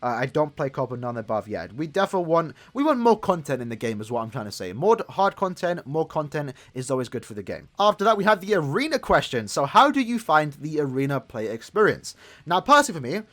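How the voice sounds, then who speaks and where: A man's voice: a little high, sing-song, fast talker, a bit bright. A man in his twenties giving casual, rambling gameplay commentary as a gaming YouTuber, chatting to his viewers. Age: 20s.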